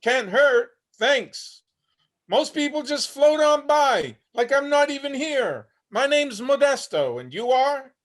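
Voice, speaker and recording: Male actor as weary 73-year-old homeless war veteran, sardonic and blunt, very high-pitched for a man, stage play reading. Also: very animated, slow pace, a bit bright, full character voice. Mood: weary, defiant. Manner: wry, blunt, streetwise. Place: remote video-call reading.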